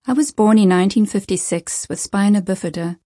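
A woman calmly reading a first-person life story aloud as scripted narration, in a measured, earnest way. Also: medium pitch, no room sound, studio quality.

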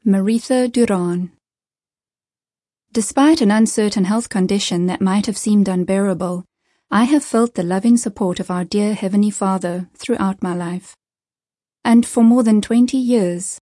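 A woman calmly reading a first-person life story aloud as scripted narration, in a measured, earnest way. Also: mid-range voice, no room sound, studio quality.

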